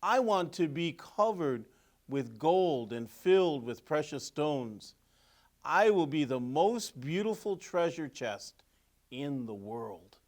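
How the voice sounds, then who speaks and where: A man plays a proud little storybook tree with big ambitions. The voice is earnest and fairly high, declaring its dreams grandly and without hurry. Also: sing-song, takes it slowly. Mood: proud, hopeful.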